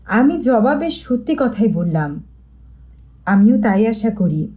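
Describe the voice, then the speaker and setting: A woman's voice: fairly high pitch, sing-song, slow pace, dark tone. A woman reading a mystery story as scripted audiobook narration.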